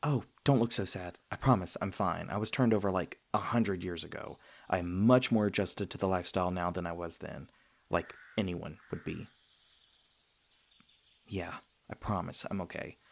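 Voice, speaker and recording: A low-pitched, soft-hearted male vampire, played by a man, speaks closely to one listener in an ASMR roleplay. He is earnest and self-conscious, often hesitating or stammering as he gently explains himself. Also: normal speed, gravelly. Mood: gentle, reassuring. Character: gentle, self-conscious male vampire, century old but youthful, sweetly flustered.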